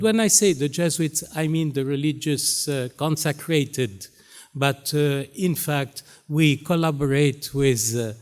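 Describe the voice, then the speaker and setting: A man from Syria, raised in Lebanon, with Arabic as his first language, giving a keynote speech as a Jesuit priest, pausing often with many fillers. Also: a little high. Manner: halting, many pauses and fillers. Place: university hall stage.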